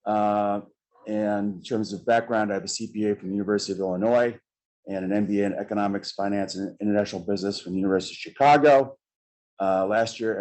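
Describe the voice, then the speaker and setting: A middle-aged man introducing himself as a panelist at a conference table, measured and filled with "uh" pauses. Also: fairly low pitch, darkish tone, rough recording. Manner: measured, many "uh" fillers, gestures with hands.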